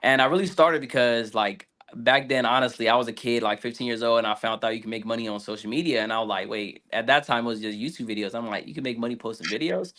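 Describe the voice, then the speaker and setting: A man's voice: medium pitch, fast, few pauses, a bit bright. A 23-year-old man, a guest social media creator, telling his story in a rambling, casual interview style. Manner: rambling, fillers like "like".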